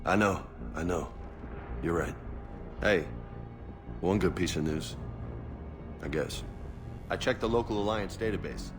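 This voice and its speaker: A man playing a brave, loyal, sombre soldier in a video game, talking in an informal, halting way. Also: low-pitched. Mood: reflective. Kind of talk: naturalistic game dialogue. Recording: music behind the voice. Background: game music.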